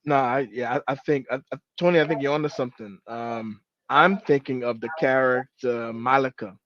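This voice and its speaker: A man on a book club panel, talking in an animated way with halting restarts and playful retellings. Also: medium pitch. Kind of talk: casual panel discussion. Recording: dull, dry lo-fi sound.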